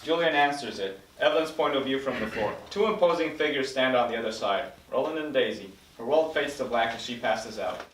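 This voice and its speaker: A man's voice: medium pitch, lively intonation, a little fast, few pauses, a bit bright, slight background noise. A man reading stage directions as the narrator in a scripted table read. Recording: roomy recording, mic at a distance.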